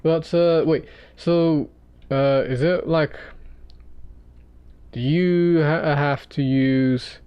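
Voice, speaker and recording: A man hosting a livestream, chatting casually with his viewers while he draws, in a halting way full of restarts. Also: on the high side, fairly even intonation, clear, resonant tone, dry recording, lo-fi sound. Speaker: livestream host.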